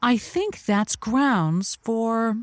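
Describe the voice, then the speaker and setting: A woman playing an earnest, wordy radio advice host, speaking in a thoughtful, halting way with florid vocabulary. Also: fairly high pitch, lively intonation, no echo. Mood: thoughtful, concerned. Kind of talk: improvised-sounding advice radio show acting.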